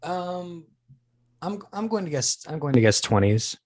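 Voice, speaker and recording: A man chatting casually as an interview guest, telling anecdotes in a halting, thinking-aloud way. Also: a little high, very animated, a little mellow, no room sound. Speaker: guest, audio drama casting director.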